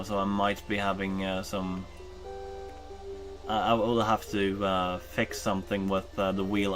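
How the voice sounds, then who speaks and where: A Norwegian man chatting casually while streaming a game, rambling with many ums and uhs and correcting himself. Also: on the low side. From Norway. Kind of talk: casual chat while gaming.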